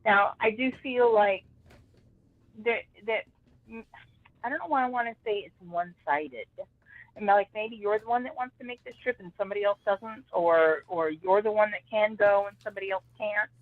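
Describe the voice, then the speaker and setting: A woman's voice: medium pitch, normal speed, dry recording. A woman giving a psychic reading on a live call-in show, hedging with "I feel like" over and over. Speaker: psychic reader. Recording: tinny phone line.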